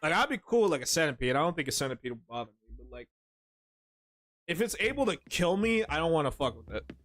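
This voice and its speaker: A man's voice: on the high side, big pitch swings, fast, a bit bright, no echo, clean studio sound. Male streamer, casual and profane, rambles through jokey stories over faint game music. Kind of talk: casual gaming stream chat.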